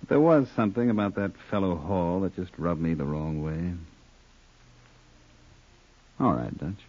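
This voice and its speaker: A man's voice: deep voice, measured pace, a little mellow. An actor playing a shady, grudging, self-righteous man in a 1950s radio detective drama. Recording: dull old-time radio recording.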